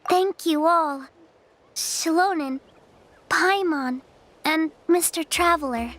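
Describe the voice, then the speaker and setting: A woman voicing a timid, polite young child in a video game, hesitant and soft-spoken. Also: very high-pitched, slow pace, exaggerated voice. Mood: shy gratitude.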